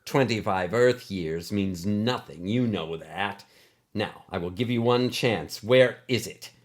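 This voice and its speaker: Man voicing a composed, stern older schoolteacher woman. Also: on the low side. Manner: deliberate, composed, ominous.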